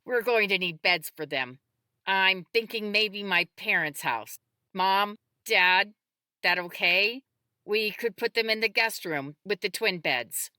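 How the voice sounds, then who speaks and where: A woman voicing a capable, practical female medic, brisk and directive yet calm, in an audiobook. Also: medium pitch, clear, resonant tone, no echo, studio quality.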